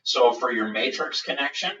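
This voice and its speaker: Male product presenter, methodical walkthrough delivery, mid pitch, dull webinar sound with room echo. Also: normal speed, bright, forceful tone. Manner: methodical, explanatory. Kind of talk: live webinar product demonstration. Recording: roomy, distant, dull webinar audio.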